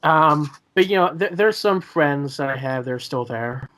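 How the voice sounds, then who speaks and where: A man's voice: on the high side, animated, moderate pace, fairly bright tone. A twenty-two-year-old man in a casual chat, speaking hesitantly and often repeating his words. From South America.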